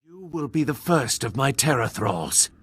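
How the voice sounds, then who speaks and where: An actor playing a cruel, gloating Sith lord in a video game, with a raspy voice and a pompous, grandiose delivery. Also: male voice, medium pitch, big pitch swings, unhurried, fairly bright tone, full character voice. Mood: gloating, imperious. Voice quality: gravelly, raspy. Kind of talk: theatrical video game villain acting.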